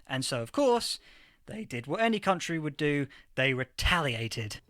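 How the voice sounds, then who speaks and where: An actor playing a history professor from a post-apocalyptic future in a fiction podcast interview, explaining things with emphatic lecturing. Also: male voice, a little high, sing-song, a little slow, fairly bright tone, no room sound, studio quality. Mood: emphatic, explanatory.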